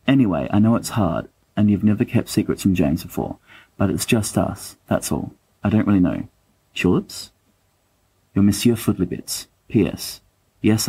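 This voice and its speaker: Low, husky man reading a letter. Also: warm tone, poor audio. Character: awkward, bookish young man writing a love letter. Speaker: volunteer audiobook reader. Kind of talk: expressive reading of fiction letters.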